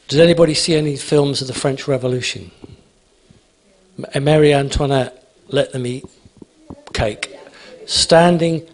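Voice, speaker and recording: A man's voice: mid-range voice, darkish tone. A man speaking at a conference, emphatic and repetitive, answering audience questions. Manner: emphatic, repeats phrases, asks "okay?".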